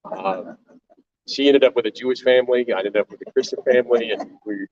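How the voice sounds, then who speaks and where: An older man from Tennessee, co-hosting a live talk and speaking haltingly with frequent fillers. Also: mid-range voice, darkish tone. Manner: halting, with many fillers and restarts. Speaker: co-host, volunteer organization leader. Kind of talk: live panel talk to an audience. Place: stage before an audience. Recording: distant, dull live-event sound.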